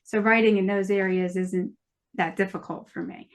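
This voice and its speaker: A woman's voice: mid-range voice, steady tone, moderate pace. An American woman, a novelist, talking casually as a guest on a podcast. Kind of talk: casual interview chat.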